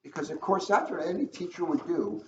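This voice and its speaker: A man's voice: on the high side, sing-song, fairly quick. A man reading a children's book aloud as a police officer, chatting and joking at his own expense in casual asides. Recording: echoey room, dull lo-fi, faint noise.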